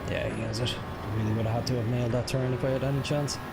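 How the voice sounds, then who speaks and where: A man's voice: mid-range voice, steady tone, quick pace, gravelly, heavy background noise. A young man, feeling unwell, chatting casually and self-deprecatingly as he streams a racing game. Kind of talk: casual live game commentary.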